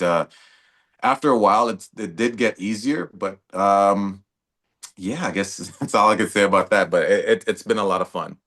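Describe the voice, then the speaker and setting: A young actor with a very low voice talks easily about his work. He sounds laid-back and casual, with plenty of fillers and restarts. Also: fairly bright tone. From Toronto, Canada. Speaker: actor guest. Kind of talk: casual interview talk.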